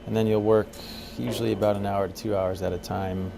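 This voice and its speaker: Very gravelly, deep-voiced man. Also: little pitch movement, a little fast, a crowd in the background. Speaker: air traffic controller. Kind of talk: casual interview answers about own job. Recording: clear ambient background noise.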